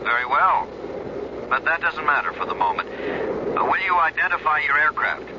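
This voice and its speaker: An actor playing a businesslike radio operator in a radio drama, speaking in a clipped, precise, procedural way. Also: male voice, a little high, big pitch swings, moderate pace. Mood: concerned, brisk. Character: formal, businesslike radio operator guiding a distressed aircraft. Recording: muffled radio drama with aircraft noise.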